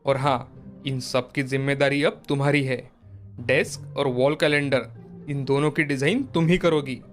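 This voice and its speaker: Man as a businesslike mid-pitched office boss. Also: fairly even intonation, moderate pace, faint music behind, close to an everyday voice. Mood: brisk, approving. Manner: authoritative, businesslike. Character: brisk, approving office boss giving orders. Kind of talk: Hindi moral cartoon story dialogue.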